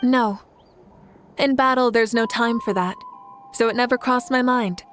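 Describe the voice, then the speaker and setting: A woman's voice: a little high, steady tone, unhurried, fairly bright tone, soft background music. A woman voicing a confident, proud female war leader with an assured, commanding manner in a video game. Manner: assured and commanding, laughs easily.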